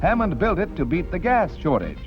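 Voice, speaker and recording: A man's voice: high-pitched, huge pitch swings, a little fast, muffled recording. An American man narrating a 1940s newsreel with a jaunty, wisecracking, punchy showman's delivery, on a vintage soundtrack. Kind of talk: 1940s newsreel commentary, scripted. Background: background music.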